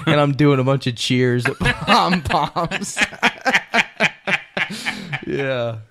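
A man's voice: medium pitch, lively intonation, extremely slow, fairly bright tone. A young man co-hosting a podcast, telling stories in casual chat, swearing often and laughing as he talks. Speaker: podcast co-host.

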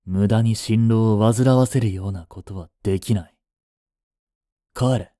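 Casting: male actor as a cool young butler, formal, devoted and jealous, dark mellow tone, close dry sound, romantic audio drama. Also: on the low side, measured pace, no echo, natural voice. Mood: cold, threatening. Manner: formal, polite to his mistress, curt to rivals.

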